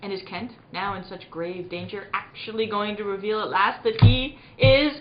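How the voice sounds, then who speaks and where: A woman's voice: mid-range voice, moderate pace, soft background music. Theatrical woman narrator in a roomy recording. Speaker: radio play narrator. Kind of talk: scripted narration in 1940s radio serial style. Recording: roomy, slightly dull, faint noise.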